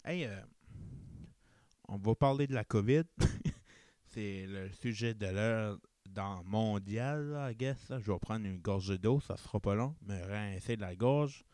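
A man's voice: on the low side, slow pace, warm tone, no echo, studio quality. A young man with an Acadian Chiac accent hosting a casual solo podcast, rambling and correcting himself, slipping English words into his French. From New Brunswick, Canada. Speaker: podcast host.

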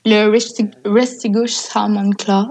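A woman's voice: mid-range voice, flat delivery, takes it slowly, a little mellow. A woman with a Quebec French accent, an interview guest telling stories in a casual, enthusiastic way. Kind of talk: casual conversational storytelling.

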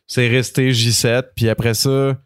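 A man's voice: mid-range voice, flat delivery, unhurried, slight background noise. A man in his thirties, from France, with a Quebec French accent, telling stories in a rambling, slangy casual podcast chat. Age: 30s. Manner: rambling, slangy, full of fillers. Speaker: guest rapper.

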